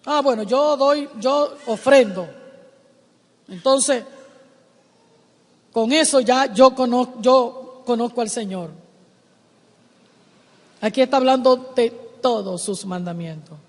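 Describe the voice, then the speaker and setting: Woman preacher, measured and emphatic, repeats lines and rises to shouts, long church-hall reverb. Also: fairly high pitch. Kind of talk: sermon. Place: church sanctuary.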